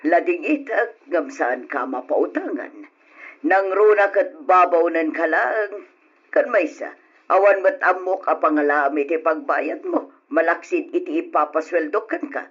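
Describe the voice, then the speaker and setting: A stern, husky rich madam, acted out. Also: medium pitch, animated, measured pace, a bit bright. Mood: scolding. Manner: scolding, accusing. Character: stern rich lady employer. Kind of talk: old radio drama acting. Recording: dull old radio recording.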